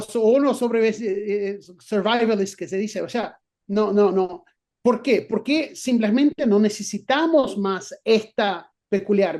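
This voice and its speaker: A man's voice: high-pitched, a little mellow. A middle-aged man with an Argentine Spanish accent, a guest professor answering interview questions, thinking aloud with many restarts and fillers. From not from Argentina.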